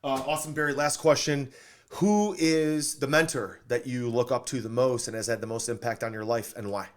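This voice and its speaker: A man's voice: medium pitch, fairly even intonation, moderate pace. A man hosting a podcast in an upbeat interview style, enthusiastic and affirming as he talks. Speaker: podcast host.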